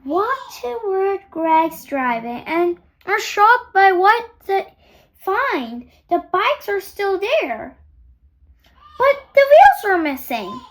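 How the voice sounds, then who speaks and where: A young girl reading a children's story aloud in a halting, sing-song way, putting questions to young listeners. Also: very high-pitched, sing-song.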